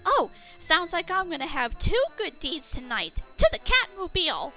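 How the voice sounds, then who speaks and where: A woman voicing an excitable female cartoon cat princess who plays superhero with theatrical, boastful exclamations. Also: very high-pitched, moderate pace, faint music behind, voice pushed up, full character voice. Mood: proud, excited. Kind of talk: cartoon-style dramatic reading.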